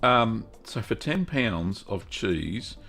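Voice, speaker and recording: A middle-aged man hosting a casual cheese-making livestream, chatty and upbeat, reading out viewer comments and filling pauses with ums. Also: on the low side, animated, vocal fry, a bit bright, soft background music. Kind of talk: casual live-stream chat.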